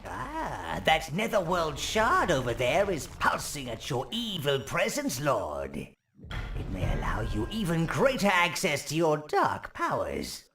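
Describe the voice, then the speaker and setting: An actor playing a wizened, fawning, gleefully evil old minion advisor in a video game, creaky and theatrical. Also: male voice, on the high side, wildly swooping pitch, slow pace, a bit bright, exaggerated voice. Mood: scheming, coaxing. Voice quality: creaky, gravelly. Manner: obsequious, theatrical servant addressing his master. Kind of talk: video game cutscene acting. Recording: game music under the voice.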